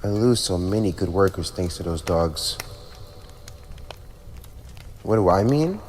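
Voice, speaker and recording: A man's voice: low voice, expressive, darkish tone. A man voicing a haughty, teasing teenage demon lord with a playfully cruel streak, in an ASMR roleplay addressed to the listener. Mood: businesslike, smug. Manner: haughty, teasing, theatrical, with drawn-out pauses. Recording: long reverb, audible background noise.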